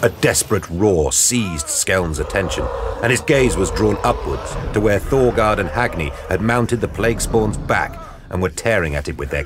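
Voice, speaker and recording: A man with a deep, low voice narrates an audio drama. His delivery is grave and measured, built for drama, with music clearly playing behind him.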